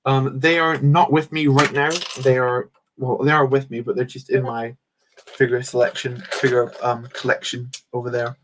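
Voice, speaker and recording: A man's voice: mid-range voice, flat delivery, moderate pace, background noise. A man hosting a casual livestream, rambling and answering chat comments while he builds. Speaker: livestream host.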